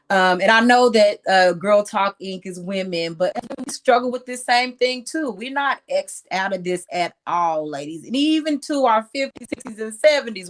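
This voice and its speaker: Frank, folksy woman hosting a call. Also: mid-range voice. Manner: frank, folksy, addresses listeners as ladies and sis. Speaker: discussion host. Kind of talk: casual group discussion. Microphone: online call mic.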